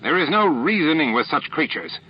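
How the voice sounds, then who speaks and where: A hostile alien defense master, put on by a man, snapping with stiff, contemptuous dignity in a sci-fi audiobook. His pitch is raised and animated, on a dull recording. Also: moderate pace, slightly rough.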